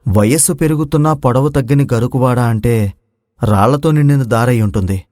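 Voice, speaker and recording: Man playing a brave young prince, medium-pitched. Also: moderate pace, darkish tone, no room sound, studio quality, close to an everyday voice. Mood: pondering. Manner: confident, formal, declamatory. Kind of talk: Telugu moral story voice acting.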